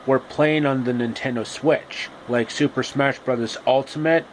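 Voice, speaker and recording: A man telling an improvised comic retelling of a fairy tale, haltingly and repeating phrases. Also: medium pitch. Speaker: storyteller. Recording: steady background noise.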